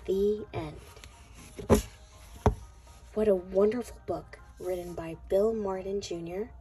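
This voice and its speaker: A woman reading a picture book aloud to young children in a deliberate, sing-song way. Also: mid-range voice, steady tone, loud music behind the voice, rough recording. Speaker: children's read-aloud narrator.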